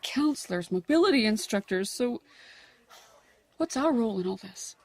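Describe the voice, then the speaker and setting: Higher-voiced, husky middle-aged mother, sharp and defensive , played by an actress. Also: expressive, moderate pace, dry recording. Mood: indignant. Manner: sharp, indignant. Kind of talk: audio drama acting.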